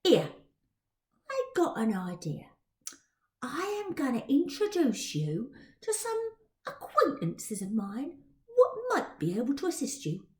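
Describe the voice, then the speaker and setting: A woman's voice: sits high, huge pitch swings, slow, long pauses, reverberant, heavily acted. A woman voicing a cocky, street-smart Victorian boy pickpocket with a Cockney accent, bragging in ungrammatical slang in a story reading. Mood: conspiratorial, helpful.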